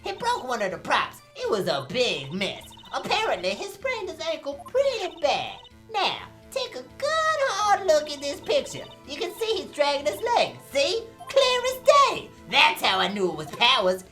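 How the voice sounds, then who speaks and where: A woman's voice: sits high, huge pitch swings, normal speed, hardly any pauses, a bit bright, soft background music, heavily acted. A woman voicing a feisty, nosy elderly female security guard in an amateur game dub, with a folksy, scolding manner. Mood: crotchety, scolding. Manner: folksy, cantankerous, rambling scolding.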